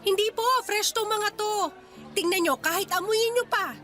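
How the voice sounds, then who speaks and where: A woman playing a feisty young market fish vendor in a Tagalog radio drama, persuasive and quick to talk back. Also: very high voice, pushed and bright, soft background music. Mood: coaxing.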